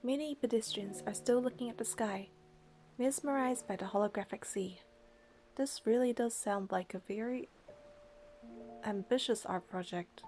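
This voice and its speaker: Mellow-voiced woman reading a game aloud. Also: fairly high pitch, normal speed, soft background music. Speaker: gamer reading and commenting. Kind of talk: reading game narration aloud with casual commentary.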